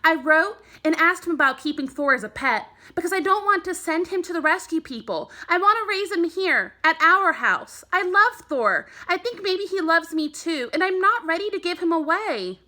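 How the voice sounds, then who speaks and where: An excitable young boy from a children's storybook, voiced by a woman reader. The voice is high and bright, with sincere, emphatic delivery. He sounds earnest and eager. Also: moderate pace, hardly any pauses, exaggerated voice. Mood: eager, pleading. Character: earnest, excitable young boy who loves his pet. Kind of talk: children's storybook read-aloud.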